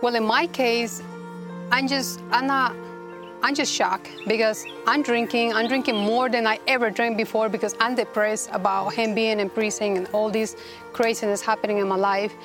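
Woman, fairly high voice, halting earnest telling, music underneath. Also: fairly even intonation. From Costa Rica. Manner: halting, earnest, many restarts. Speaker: guest. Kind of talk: personal testimony interview.

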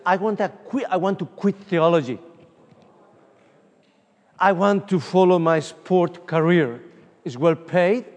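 A middle-aged man from Uruguay with a Spanish accent, preaching as an evangelist at a conference and telling personal stories with many fillers. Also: high voice. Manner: animated, storytelling, frequent fillers. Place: large conference hall. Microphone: room microphone from a distance. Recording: distant voice with long hall reverb.

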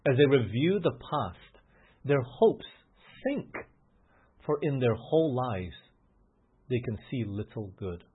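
Male preacher, earnest and emphatic, raspy breathy tone, lo-fi phone-like recording. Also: medium pitch, lively intonation, takes it slowly. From grew up in England, Australia and the US. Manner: earnest, rising to emphatic, frequent restarts. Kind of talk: sermon.

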